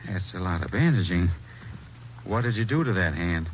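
Folksy small-town doctor, noisy old radio. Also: male voice, low-pitched, animated, normal speed. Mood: nervous. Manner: folksy, earnest. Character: kindly, dutiful small-town family doctor under threat. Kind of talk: 1950s radio drama acting. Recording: muffled old radio broadcast with hiss.

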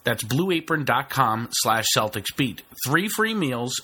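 A man's voice: mid-range voice, sing-song, pushed and bright, husky. A man reading an upbeat sponsor ad, rattling off lists of items. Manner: upbeat, listing items quickly. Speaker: ad reader. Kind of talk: sponsor read.

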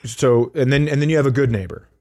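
A man's voice: medium pitch, fast talker, dark tone. A man co-hosting a comedy podcast, bantering casually with dry, teasing remarks. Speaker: podcast co-host.

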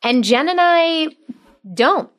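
A woman's voice: sits high, lively intonation, slow, a bit bright. A woman co-hosting a parenting podcast, reading an ad and introducing guests with upbeat, gushing chat. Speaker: podcast co-host. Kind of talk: casual chat and read ad.

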